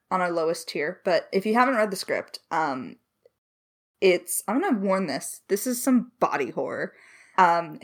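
A woman in a casual Q&A as a writer, talking candidly with self-deprecating humor. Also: on the low side. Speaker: lead writer of an audio drama. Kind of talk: casual Q&A conversation.

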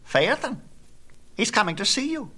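A man's voice: sits high, big pitch swings, moderate pace, pushed and bright, pitched above the performer's own voice, full character voice. A man voicing a female hawk, a gossiping messenger bird reporting news in a mythology storybook. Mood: urgent reporting.

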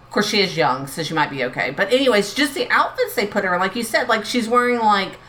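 A woman's voice: medium pitch, big pitch swings, quick pace, a bit bright, a little hiss or hum, rough recording. A woman co-hosting a podcast, talking in a rambling, chatty way and laughing easily. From lived in New Orleans for twenty plus years. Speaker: podcast co-host. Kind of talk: casual chat.

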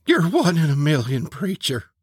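A man voicing a gentle, affectionate, teasing woman of the Old West in an audiobook. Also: on the high side, big pitch swings, moderate pace, husky, no echo, studio quality, pitched above the performer's own voice.

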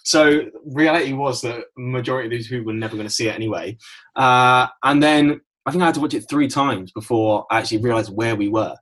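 A man's voice: medium pitch, fairly quick, fairly bright tone, rough recording. A British man in his twenties co-hosting a podcast, chatting in a conversational, self-deprecating way. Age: 20s. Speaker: podcast co-host. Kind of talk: casual chat and anecdotes.

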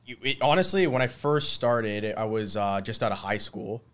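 Twenty-something guy, kinda low voice, chatty, full of um and you know. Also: lively intonation, moderate pace, fairly bright tone, clear, resonant tone. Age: 20s. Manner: laughs often, lots of fillers. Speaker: guest, mason. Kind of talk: casual interview chat.

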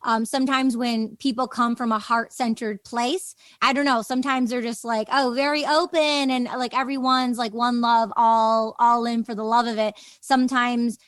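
A woman's voice: on the high side, little pitch movement, moderate pace, hardly any pauses, a bit bright, no room sound, studio quality. A woman hosting a casual interview, thinking aloud in a rambling, affirming way. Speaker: interviewer, host.

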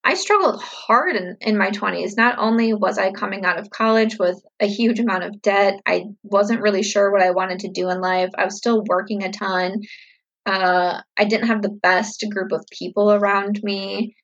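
A woman in her thirties talks casually to her viewers, upbeat and reflective, with lots of "like" and "you know". Her voice is a little husky and sits mid-range. The recording sounds slightly dull and lo-fi. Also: mid-range voice, moderate pace, a bit bright. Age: 30s. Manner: upbeat, chatty, reflective with many fillers. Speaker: vlogger answering viewer questions. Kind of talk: casual Q&A chat to camera.

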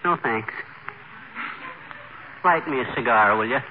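An actor playing a boastful, bumbling middle-aged husband in a 1940s radio comedy, blustery and whiny. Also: male voice, medium pitch, lively intonation, measured pace, phone-quality sound, lightly acted. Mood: self-pitying. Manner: blustery, whiny when sick. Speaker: lead comic character. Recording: crackly thin old radio broadcast.

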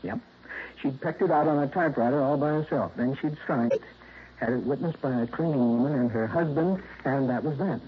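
An actor playing an earnest, troubled insurance claims man in a 1960s radio drama, hesitant and quick to protest. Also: medium pitch, a little hiss or hum, lightly acted. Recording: dull lo-fi vintage radio broadcast.

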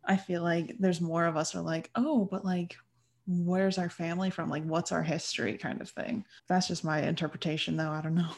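A young American woman hosting a podcast, chatting in an animated, reflective way. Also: on the low side, normal speed. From United States. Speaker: podcast host. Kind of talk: casual podcast chat.

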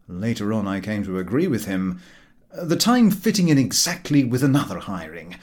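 Casting: male audiobook narrator, very animated intonation, dry comic storytelling, mid-range pitch. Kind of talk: scripted narration of a comic novel.

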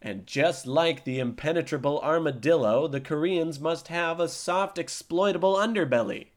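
A man's voice: on the high side, natural voice. A man doing a pompous blowhard captain. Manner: pompous, boastful, slow and grandiose. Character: pompous blustering male army captain. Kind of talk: comedy audio drama.

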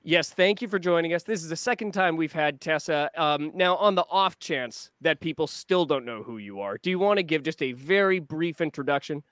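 A man's voice: fairly high pitch, expressive, normal speed, few pauses, a bit bright, no echo, clean studio sound. A man hosting a podcast, reading upbeat scripted introductions and making playful jokes with his guests. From Canada. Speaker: podcast host. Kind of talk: podcast conversation.

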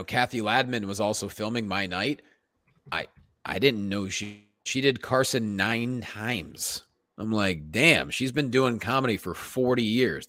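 Animated man swapping stories, very lively pitch. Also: no echo, clean studio sound. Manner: animated, acts out quotes. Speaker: comedian and podcast co-host. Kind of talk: casual anecdotal chat.

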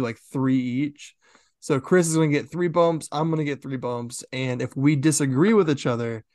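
A man's voice: mid-range voice, darkish tone, dry recording, studio quality. Chatty male horror podcast co-host. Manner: chatty, laughs easily, filler-heavy explaining. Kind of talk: casual podcast chat.

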